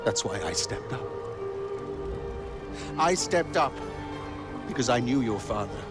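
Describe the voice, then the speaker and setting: Fervent rebel leader, wildly swinging pitch. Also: male voice, big pitch swings, slow. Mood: solemn, urgent. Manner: passionate, intense, emphatic. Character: fervent, indignant male rebel leader, proud traditionalist. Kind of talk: dramatic video game acting. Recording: loud music behind. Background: loud music.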